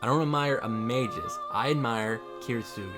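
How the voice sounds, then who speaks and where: A man reading the visual novel monologue of an earnest, determined young student of magic, in a hushed, solemn, measured way. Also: medium pitch, lightly acted. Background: background music.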